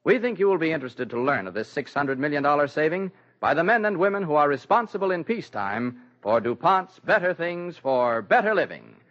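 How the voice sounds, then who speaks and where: A man's voice: a little high, big pitch swings, fairly quick, few pauses, fairly bright tone, slight background noise. A man with an American accent reading a script as a formal 1940s radio announcer. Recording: muffled 1940s radio recording.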